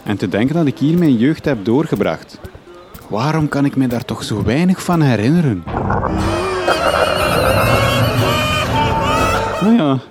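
A man's voice: mid-range voice, animated, normal speed, noisy background, close to an everyday voice. A man playing an earnest, bewildered young journalist with a Flemish accent, thinking aloud in a radio comedy drama. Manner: naive, puzzled, thinking aloud. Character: earnest, bewildered young journalist back in his home village.

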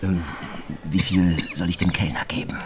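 Actor as flirtatious gentleman, lowish voice, clinking tableware. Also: steady tone, moderate pace, noisy background, natural voice. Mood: hesitant, courteous. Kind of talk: German radio play acting.

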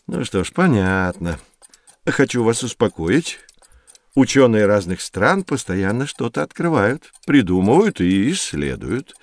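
Lively, animated friendly professor. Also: male voice, on the low side, unhurried, no room sound. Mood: reassuring. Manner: explanatory, expressive, addresses children kindly. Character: friendly male professor guiding curious children on adventures. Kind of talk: scripted educational audio drama.

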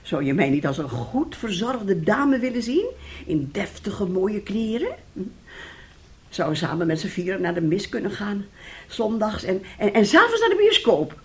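A woman's voice: fairly low pitch, a little mellow. A domineering mother in a radio drama. Her voice swoops up and down as she wheedles and scolds, full of theatrical self-pity and scheming.

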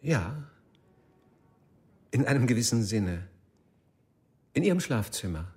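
An actor playing a middle-aged jilted husband, desperate and brooding, speaking haltingly and solemnly in a radio drama. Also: male voice, mid-range voice, sing-song, a little mellow, husky, heavily acted. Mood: evasive.

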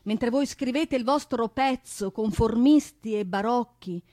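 A woman giving a solemn, theatrical recitation of poetry and prose in a deliberate, dramatic manner. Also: a little high, warm tone, dry recording, clean studio sound. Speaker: stage performer, actress and singer. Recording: piano music under the voice. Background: piano accompaniment.

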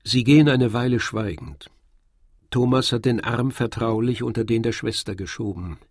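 A man's voice: medium pitch, moderate pace, dry recording, studio quality. A man reading scripted literary narration as the narrator of a radio drama. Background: violin and other music.

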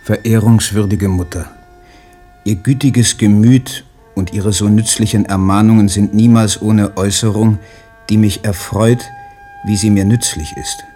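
An actor playing a withdrawn, submissive poet son reading out stilted, deferential letters in a radio play. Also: male voice, fairly low pitch, fairly even intonation, measured pace, dark tone, soft background music, close to an everyday voice. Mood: stiff, submissive.

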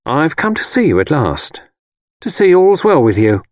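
A man's voice: a little high, very animated, normal speed, no room sound, clean studio sound, voice pushed up. A man voicing a stern, grey-haired working-class mother, blunt and disapproving, in an audiobook story. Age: older. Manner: blunt, disapproving, dialect turns of phrase.

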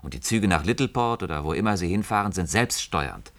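An actor playing a puzzled, frustrated planning engineer in a science-fiction radio play, talking in a conversational, explanatory way. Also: male voice, low voice, animated, a little fast, a bit bright. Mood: brooding, suspicious. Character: puzzled, frustrated male planning engineer doubting his company.

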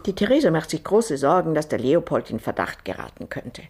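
A woman's voice: low voice, big pitch swings, a little fast, a little mellow, husky, full character voice. An actress playing an anxious, evasive, gossipy woman in a radio drama, confiding nervously.

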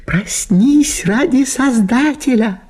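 Shrill hysterical prudish wife. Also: male voice, takes it slowly, darkish tone, husky, heavily acted. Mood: frantic, pleading. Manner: breathless, pleading, melodramatic exclamations. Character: hysterical, prudish, indignant wife at a country house.

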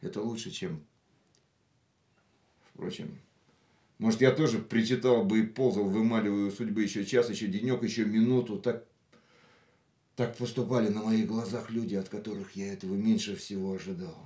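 A man's voice: fairly even intonation, moderate pace, pauses often, mellow, lightly acted. An actor in a radio drama playing a philosophical, risk-loving man with dramatic, declamatory phrasing. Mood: intense, reflective. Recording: echoey radio studio, faint rumbling noise.

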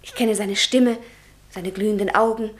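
An actress playing a passionate, defiant young student in a radio play, fervent, emphatic and teasing. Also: female voice, mid-range voice, steady tone, moderate pace, natural voice. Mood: rapturous. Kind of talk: radio drama acting.

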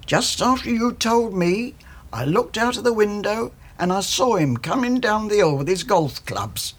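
An actress playing a blunt, gossipy older British female servant in a radio drama, with a raspy voice and folksy, animated delivery. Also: mid-range voice, measured pace. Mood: gossipy, emphatic. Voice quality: raspy, creaky. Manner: animated storytelling in folksy working-class speech.

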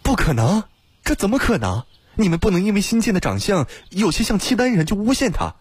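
A man's voice: lowish voice, animated, fast talker, breathy edge, dry recording. A man voicing a loyal, indignant young male friend in an audiobook story. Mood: indignant disbelief.

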